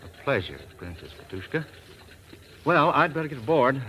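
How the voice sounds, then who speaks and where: Evasive, uneasy doctor, medium pitch, halting politeness, vintage radio drama sound. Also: male voice, sing-song, sharp tone. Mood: polite. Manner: hesitant, awkwardly polite. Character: fidgety, evasive male doctor. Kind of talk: 1940s radio drama acting. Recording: noisy old radio recording.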